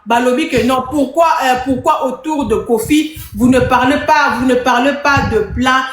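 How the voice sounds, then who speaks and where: Host, woman, high voice, brisk, echoey room with faint noise. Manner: brisk, prompts callers. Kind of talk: live call-in show.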